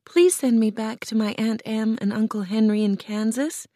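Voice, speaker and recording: A woman's voice: fairly high pitch, moderate pace, mellow, dry recording, studio quality, pitched above the performer's own voice, lightly acted. A woman voicing a small, earnest young girl in a storybook, polite, meek and plaintive. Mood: earnest, pleading. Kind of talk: storybook character voice.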